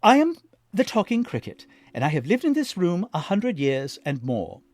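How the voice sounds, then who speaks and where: A man's voice: sits high, huge pitch swings, normal speed, a little mellow, no echo, clean studio sound, voice pushed up. A man doing a stern old cricket.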